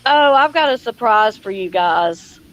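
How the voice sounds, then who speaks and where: A woman's voice: mid-range voice, lively intonation, unhurried, fairly bright tone, muffled recording, a little hiss or hum. A woman hosting a casual livestream, chatty, folksy and welcoming. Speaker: livestream host.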